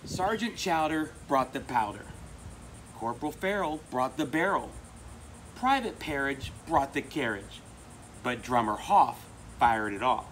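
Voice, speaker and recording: A middle-aged American man with an expressive, emphatic delivery, presenting and reading a children's picture book aloud. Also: mid-range voice, a little slow, poor audio. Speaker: volunteer storybook reader. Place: outdoors among palm trees. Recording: outdoor recording with insect noise. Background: crickets and insects.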